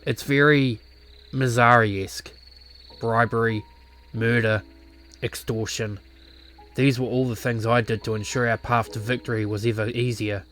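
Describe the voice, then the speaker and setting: A man playing a brooding, regretful former clan leader in a visual novel, speaking in a somber, measured, reflective way. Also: medium pitch, steady tone, a bit bright, natural voice. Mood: grim, bitter.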